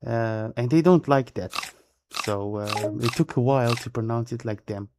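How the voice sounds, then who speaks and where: A man chatting casually while live-streaming a game, in English that is not his first language, since his native tongue is close to Italian. His pitch sits in an ordinary middle range, and he loops back over his own words. A steady hiss of noise and clicking sits under the voice. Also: normal speed. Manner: chatty, repeats and rephrases himself.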